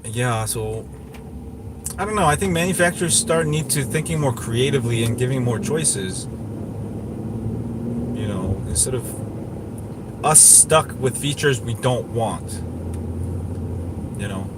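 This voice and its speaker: Rambling man over clear background noise. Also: medium pitch, expressive, measured pace, pauses often. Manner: rambling, opinionated, repeats himself. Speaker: tech livestream host. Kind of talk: casual livestream chat, answering viewers.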